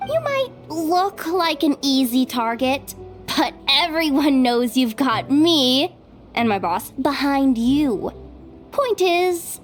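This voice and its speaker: High-pitched, cocky young bounty hunter. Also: female voice. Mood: confident, explaining. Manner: boastful, breezy, slangy. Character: cocky young female bounty hunter, brash street-smart hustler. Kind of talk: English anime game voice acting. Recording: music behind the voice.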